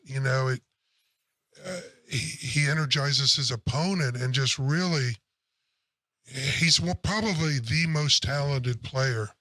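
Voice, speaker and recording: Male co-host, deep throaty voice with a forceful edge, blunt opinions, dry booth. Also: no echo. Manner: blunt, opinionated, curses casually. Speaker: sports podcast co-host. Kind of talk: casual sports chat.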